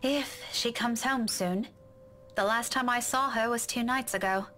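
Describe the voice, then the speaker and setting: An actress with a British accent playing a feisty, caring young woman in a visual novel, direct and expressive. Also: a little high, a little slow, faint music behind. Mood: concerned. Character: feisty, caring young woman teasing her old friend.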